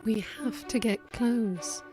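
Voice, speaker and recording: A woman's voice: on the high side, steady tone, slow. A woman playing a practical, insistent young group leader in an audio drama, matter-of-fact. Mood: firm. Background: orchestral score music.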